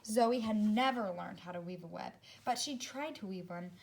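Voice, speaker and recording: A woman teacher reading a story aloud to young children, speaking expressively and deliberately, with asides to her second-grade listeners. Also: mid-range voice, creaky.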